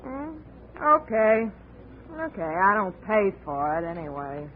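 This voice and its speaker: An actress playing a bored, sassy soda-counter clerk in a 1950s radio drama, flat and offhand. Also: female voice, medium pitch, slow. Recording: tinny old radio broadcast, faint hiss.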